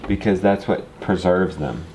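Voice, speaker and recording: A man's voice: low-pitched, a little slow, very noisy. A man in his thirties talking casually and encouragingly, a folksy father vlogging through farm chores. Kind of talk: casual vlog talk while doing farm chores.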